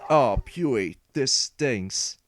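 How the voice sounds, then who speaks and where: A man voicing a blustering, grumpy monster villain from a video game, in a slow, stumbling read. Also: mid-range voice, animated, slight background noise. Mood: dismayed. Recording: game music behind.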